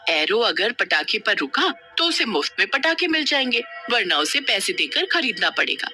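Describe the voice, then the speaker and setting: A performer voicing a kindly but scheming female witch in an animated, conspiratorial storybook manner. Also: medium pitch, moderate pace, soft background music.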